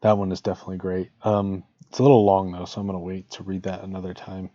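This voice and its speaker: Low, mellow male storyteller. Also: flat delivery, fast talker. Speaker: streamer reading fiction. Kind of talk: storybook narration and casual stream chat. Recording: dull lo-fi audio, roomy echo.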